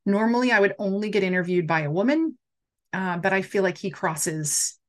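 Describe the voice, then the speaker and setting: Middle-aged lady chatting live to her viewers, lively and animated, mid-pitched everyday voice. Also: normal speed. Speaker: coach hosting a live stream. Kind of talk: casual live-stream talk to viewers.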